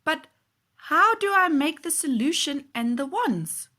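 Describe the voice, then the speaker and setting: A woman's voice: high voice, expressive, unhurried, bright, forceful tone, pitched above the performer's own voice, exaggerated voice. A woman voicing an eager, polite, curious young boy in a children's storybook, earnest and wide-eyed. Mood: excited, eager.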